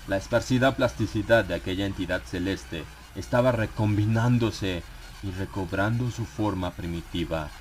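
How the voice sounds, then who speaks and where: A man narrating a dramatized horror audiobook in a grave, theatrical manner with dramatic pauses. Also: deep voice, slight background noise. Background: background music.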